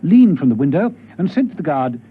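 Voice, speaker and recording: An actor narrating a 1970s radio spy serial in a grave, dramatic style. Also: male voice, a little high, very animated, brisk, husky. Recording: dull vintage radio recording.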